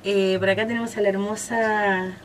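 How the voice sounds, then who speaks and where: A woman's voice: medium pitch, flat delivery, moderate pace, a little hiss or hum. Chatty Chilean woman radio host. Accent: Chilean Spanish. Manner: hesitant, many fillers and restarts. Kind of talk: casual interview chat.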